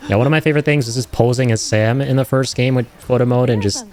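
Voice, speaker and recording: A man's voice: mid-range voice, fast, a crowd in the background. A man giving casual, enthusiastic reaction commentary over game footage in an informal, slangy, chatty way. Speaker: host of a gaming reaction channel.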